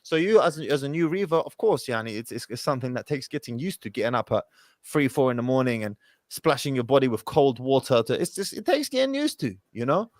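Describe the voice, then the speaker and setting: A male call-in host talks at a quick clip in a dry, close sound. He gives informal religious advice with emphasis and drops Arabic phrases into casual speech. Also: medium pitch, expressive, no echo.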